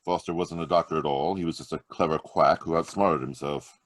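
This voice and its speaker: Deep dramatic radio hero, swoopy delivery, dry close mic. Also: male voice, steady tone, fairly quick, no room sound. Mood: explaining. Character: confident male crime-solving hero, mocking. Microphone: home mic over video call.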